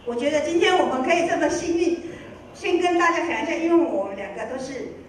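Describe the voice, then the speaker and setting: A woman's voice: high voice, lively intonation, fast. A woman giving informal acceptance remarks as an award winner. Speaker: award winner from a sound production company. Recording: very echoey noisy hall, distant mic.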